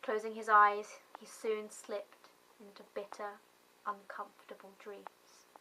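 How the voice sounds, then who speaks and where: A woman narrating a story in a theatrical, deliberate manner, swelling on key phrases for emphasis. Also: mid-range voice, completely flat delivery, noisy background. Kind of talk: dramatic story reading.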